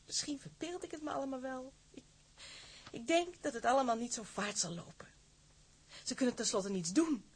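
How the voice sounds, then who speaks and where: An actress playing a timid, lovelorn young fiancée in a period drama, halting, formal and emotional. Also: female voice, sits high, slightly rough. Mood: anxious, tearful. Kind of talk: Dutch period radio drama acting.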